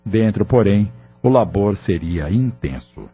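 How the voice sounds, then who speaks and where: A man narrating an audio novel in a measured, solemn storytelling manner. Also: fairly low pitch, expressive, slightly rough. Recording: dull lo-fi audio with faint music.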